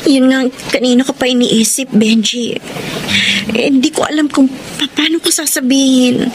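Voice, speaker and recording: High-voiced anxious girlfriend played by a woman. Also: steady tone, moderate pace, close to an everyday voice. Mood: uneasy, pleading. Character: anxious, hesitant young pregnant girlfriend. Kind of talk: Tagalog radio drama acting. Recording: clearly noisy ambient background.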